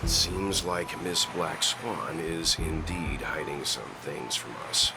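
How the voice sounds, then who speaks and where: Low-voiced, tactful man. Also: slow pace, vocal fry, very noisy, exaggerated voice. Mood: wary, questioning. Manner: polite, hesitant. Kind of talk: video game voice acting. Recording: loud music under the voice.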